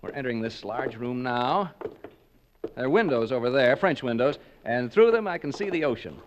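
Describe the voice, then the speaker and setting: A man's voice: mid-range voice, lively intonation, normal speed, a little hiss or hum, close to an everyday voice. An actor playing a skeptical, joking male reporter broadcasting a ghost hunt, in 1940s radio acting style. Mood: jokey, chatty. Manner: joking, breezy, chatty with listeners. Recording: dull old 1940s radio recording.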